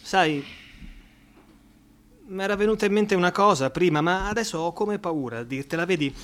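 A man's voice: high voice, animated, moderate pace, fairly bright tone. A man playing a playful, teasing young friend in a radio play, bantering and dramatic, swinging between mockery and tenderness. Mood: tender, hesitant. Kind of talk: theatrical radio play acting.

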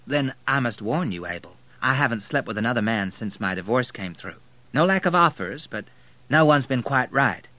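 A man's voice: medium pitch, expressive, moderate pace, bright, forceful tone, pitched above the performer's own voice. Man doing an arch, teasing society woman. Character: poised, teasing Southern woman, wealthy divorcee.

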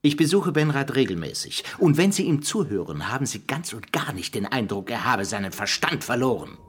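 Husky, sardonic politician in his fifties. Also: mid-range voice, big pitch swings, a little fast. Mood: insistent. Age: 50s. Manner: sardonic, condescending, rhetorical questions. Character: sardonic, evasive fifty-year-old presidential candidate. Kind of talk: radio drama acting.

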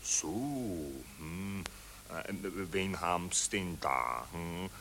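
An actor playing a vain, dithering Austrian general in a satirical radio play, with a hemming, stammering, pompous delivery. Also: male voice, low voice, expressive, drawn-out words, a bit bright, slight background noise, exaggerated voice. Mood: coy vanity. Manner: pompous, drawling, falsely modest. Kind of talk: satirical radio drama acting.

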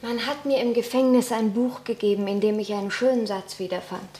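A quiet, determined young daughter, played by an actress, speaks in subdued, earnest tones in a radio play. Her voice sits fairly high. An echoing room and a faint hiss surround it. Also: fairly even intonation, brisk, lightly acted.

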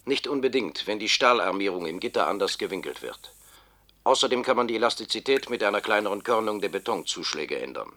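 Efficient engineer helper, low bright voice, precise and formal, sci-fi radio play. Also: male voice, animated, a little fast. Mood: precise, businesslike. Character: efficient male brain-bank consulting engineer, polite and businesslike. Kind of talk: German radio play acting.

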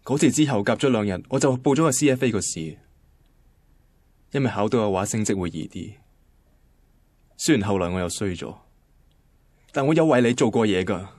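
An insecure young Hong Kong boyfriend. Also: male voice, lowish voice, lively intonation, a little fast, long pauses, darkish tone, slightly rough, no echo. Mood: hurt. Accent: Hong Kong Cantonese. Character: easygoing, insecure young boyfriend, hurt and suspicious. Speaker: actor in a radio drama. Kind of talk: radio drama acting and first-person narration.

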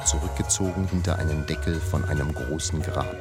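Solemn poet, music very loud behind. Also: male voice, rumbling low, brisk. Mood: solemn, grim. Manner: declamatory, solemn. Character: solemn male poet narrating a journey through hell. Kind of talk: literary radio drama recitation.

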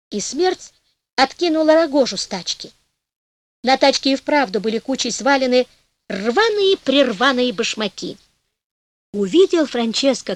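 Woman storyteller, fairly high voice, expressive and measured, dry close studio sound. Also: dry recording. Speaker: audiobook narrator. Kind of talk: storybook narration.

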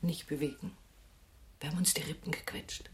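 A woman's voice: low voice, fairly even intonation, a little fast, breathy edge, whispered. A woman playing a strict young hospital nurse in a radio crime drama, with a stern, commanding manner. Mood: stern, hushed. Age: young adult.

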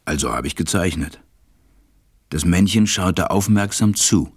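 A very low-voiced, serious pilot tells his story in a radio play. He speaks in a measured, deliberate way, like someone recalling what happened to him. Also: slightly rough, no room sound, clean studio sound, heavily acted. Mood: reflective, wry. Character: stranded adult male pilot, serious narrator recalling events. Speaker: narrator. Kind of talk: storytelling narration in an audio drama.

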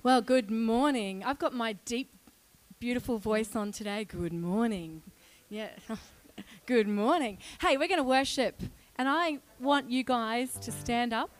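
A woman's voice: a little high, unhurried. A woman introducing congregational singing as worship leader, breezy and encouraging, joking about her own voice. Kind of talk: casual lead-in to worship.

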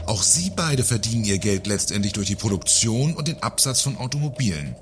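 An actor playing a pompous, irritable company director in a satirical radio play, condescending and pointedly polite. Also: male voice, on the low side, big pitch swings, fairly quick, soft background music. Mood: irritated, lecturing.

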